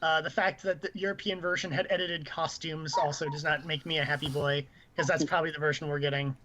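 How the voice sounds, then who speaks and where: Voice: medium pitch, fairly even intonation, fast talker, sharp tone, slight background noise. A podcast co-host chatting casually about video games. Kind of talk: casual gaming chat. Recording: dull lo-fi stream audio.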